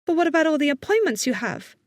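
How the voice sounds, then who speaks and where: Woman narrator as anxious young woman, high trembling voice, tearful, hesitant, audiobook character, dry close sound. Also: sing-song, fast talker, no echo. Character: frightened, tearful young woman, apologetic clinic assistant.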